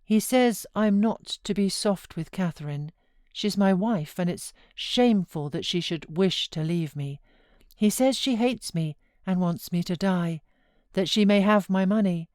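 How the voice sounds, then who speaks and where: A woman's voice: lowish voice, darkish tone, no room sound, studio quality. A woman voicing a sickly, spoiled young man in a novel, petulant and spiteful. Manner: petulant, whining insistence.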